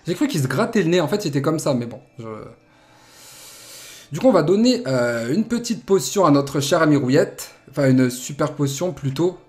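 A man's voice: fairly high pitch, animated, normal speed, a little mellow, soft background music. A young man with a cold giving playful, jokey commentary while playing a video game at his desk. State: has a cold. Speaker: Let's Play host. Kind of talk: casual gameplay commentary. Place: indoor room at desk.

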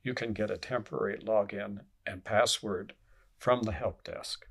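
A man reading scripted instructional narration in a measured, formal way, as an instructor would. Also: lowish voice, fairly even intonation, creaky, breathy edge.

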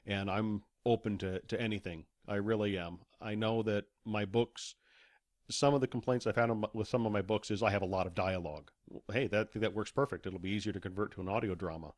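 A man's voice: on the low side, fairly even intonation, a little fast, no room sound, clean studio sound. A man speaking as an interview guest, an author chatting casually about his work. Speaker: podcast guest, author and audiobook narrator.

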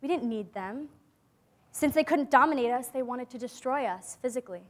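Earnest hopeful teen girl in a stage play, really high voice, measured. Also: animated. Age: young adult. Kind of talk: staged dramatic reading. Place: theatre stage before a seated audience. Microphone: clip-on lapel mic.

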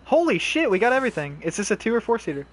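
A young man chatting casually and swearing while he plays a horror game with teammates, giving quick directions. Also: high-pitched, big pitch swings. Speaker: streamer playing a horror survival game. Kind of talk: casual gameplay chat with teammates. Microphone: console headset mic.

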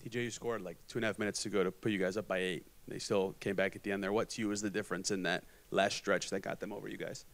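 Male reporter, lowish, gravelly, direct press question. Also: flat delivery, fast, few pauses, fairly bright tone.

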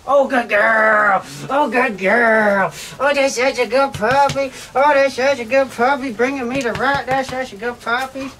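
A man's voice: extremely high pitch, steady tone, measured pace, few pauses. Man calling to dogs outdoors. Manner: calls out commands to dogs, praises them warmly. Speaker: pest control ratter with dogs. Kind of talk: casual running commentary. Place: outdoor dirt pens on a farm. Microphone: chest-mounted body camera. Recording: noisy outdoor camera audio. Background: dogs and outdoor ambience.

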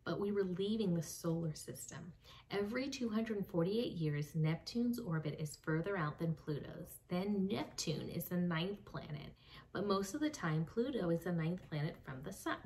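A young woman reading a children's book aloud expressively, with dramatic pauses, addressing young listeners. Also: lowish voice, expressive, a little mellow. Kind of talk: expressive read-aloud storytelling. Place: small home room with bookshelves. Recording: slightly distant mic, faint background noise.

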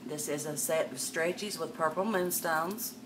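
Older woman host, low voice, chatty live-sale patter, webcam a bit far off with room noise. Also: normal speed. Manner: chatty, calls viewers darling and miss. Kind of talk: live sales stream, chatting to viewers. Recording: distant mic with background noise.

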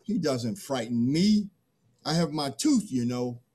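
A middle-aged man playing a cocky, whiny, childish new human in a play reading, with a husky voice. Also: mid-range voice, lively intonation, unhurried, warm tone. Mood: boastful.

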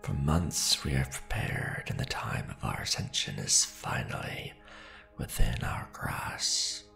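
Man acting as a courtly ancient vampire lord, extremely low voice, slow grandiose delivery, ambitious, devoted, ASMR roleplay. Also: lively intonation, sharp tone, soft background music, full character voice. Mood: ominous, triumphant. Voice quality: deep, gravelly. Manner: formal, grandiose, deliberate phrasing with pauses.

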